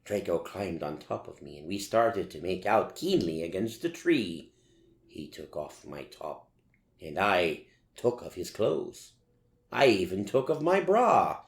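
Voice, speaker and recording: Casting: male story reader, old horror-film theatrics, low creaky voice, swooping delivery, roomy echo. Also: big pitch swings. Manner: dramatic, deliberate, savouring each phrase. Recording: roomy, echoing voice recording.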